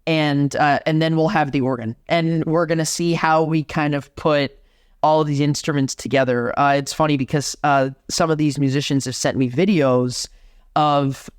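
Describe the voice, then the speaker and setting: Man, young adult, from Miami, smooth clear voice, slightly high, dry studio sound. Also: moderate pace, dry recording. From Miami, Florida. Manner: animated, talks with many restarts. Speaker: organist guest. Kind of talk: casual interview conversation.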